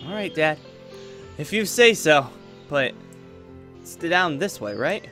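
Guy playing an excitable teen son, highish voice, up and down a lot, casual minecraft roleplay. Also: slow, faint music behind, full character voice. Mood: eager. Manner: eager, casual, questioning. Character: excitable teenage son, new academy student, curious. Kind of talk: improvised Minecraft anime roleplay.

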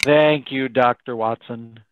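A man's voice: mid-range voice, steady tone, slight background noise, natural voice. A man playing a courteous, formal radio announcer in a 1930s-style radio drama, over a video call. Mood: polite. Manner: formal, measured announcer delivery. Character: courteous male radio announcer, Mr. Bell, visiting Watson. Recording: thin phone-like video call sound.

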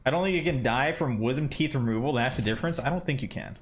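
A man's voice: medium pitch, quick pace. A man in his mid-twenties talks casually at his desk while gaming, rambling through thoughts with plenty of "like" and "um". He swears freely and sounds offhand. A faint hum sits behind his voice. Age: 20s. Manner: rambling, profane, many fillers. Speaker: gaming streamer. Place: home desk room.

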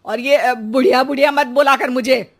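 A woman voicing a scolding, greedy middle-aged housewife in a cartoon, with an emphatic, sharp-tongued delivery. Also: high-pitched, steady tone, moderate pace, vocal fry. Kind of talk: animated cartoon dialogue.

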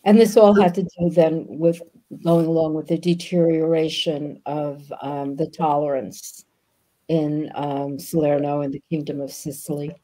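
An older woman whose first language was Yiddish, speaking as a guest author on a video call from a book-lined room, pausing often with ums. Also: low voice, flat delivery, dark tone, rough recording. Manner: thoughtful, frequent ums. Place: home room with bookshelves.

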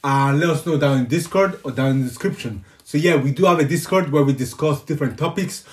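A man's voice: a little high, fast. A young man hosting a reaction channel, chatting casually to camera from a room at home. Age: young adult. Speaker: YouTube reaction channel host. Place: small room at home, on a couch.

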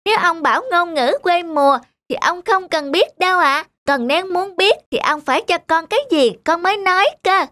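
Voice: very high-pitched, moderate pace, hardly any pauses, sharp tone, no room sound. An actor voicing a clever, bold little village boy in a cartoon fairy tale, polite but pert and earnest. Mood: pert, confident.

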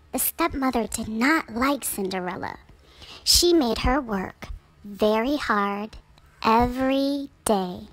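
A woman narrating a children's storybook aloud in careful, simple phrases for young listeners. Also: fairly high pitch. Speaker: storybook reader.

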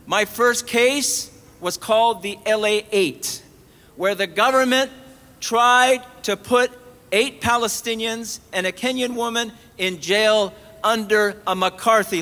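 A middle-aged man delivers an impassioned sermon at an outdoor rally from a podium mic. His voice sits high and sounds bright and forceful. He keeps building with pointed rhetorical questions. A long echo trails off the stone colonnade behind him. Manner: emphatic, rising on repeated rhetorical questions. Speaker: preacher giving a rally sermon. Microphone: podium mic on a stand.

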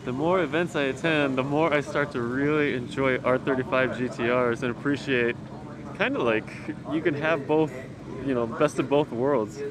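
A man's voice: mid-range voice, fairly even intonation, moderate pace, a crowd in the background. A man giving casual, slangy and enthusiastic walk-around commentary as a car enthusiast, speaking outdoors. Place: outdoors in a circuit paddock. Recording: noisy outdoor car paddock ambience.

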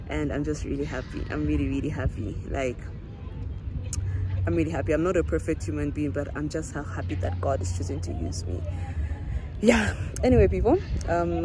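Chatty Zambian woman vlogging outdoors. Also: deep voice. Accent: Zambian, slipping into South African. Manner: chatty, earnest, rambling with many fillers. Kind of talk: casual selfie vlog chat. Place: outdoors beside a parked car. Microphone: phone held at arm's length. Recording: phone audio with outdoor noise.

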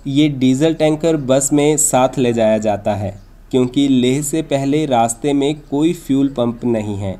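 Mellow male travel vlog narrator. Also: medium pitch, measured pace. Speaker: travel vlogger and host.

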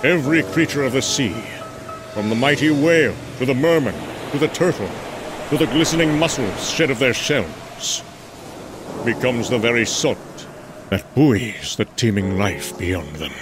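A man's voice: mid-range voice, animated, moderate pace, natural voice. A man playing a proud, regal undersea king in a superhero comic drama, solemn and lofty in manner. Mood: solemn, reverent. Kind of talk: dramatic comic-book voice acting. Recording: loud music under the voice.

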